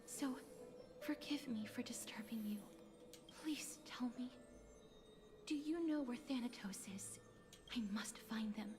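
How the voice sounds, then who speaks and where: Game role: gentle, solemn young woman, played by an actress, higher voice, very slow and breathy, music behind. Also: lightly acted. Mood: solemn pleading. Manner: slow, tender, formal. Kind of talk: scripted video game voice acting.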